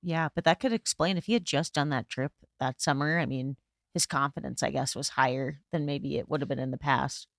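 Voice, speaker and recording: Podcast co-host, mid pitch, teasing and laughing, dry room. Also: vocal fry, a bit bright, no echo. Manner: playful, quick to laugh and tease. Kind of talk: casual podcast chat.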